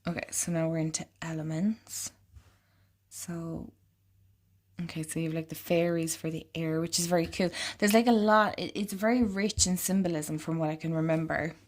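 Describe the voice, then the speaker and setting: Woman vlogger, lowish voice, congested and croaky, loose rambling delivery. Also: steady tone, normal speed. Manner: chatty and rambling, lots of "like". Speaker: oracle card reader and vlogger. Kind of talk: casual deck walkthrough.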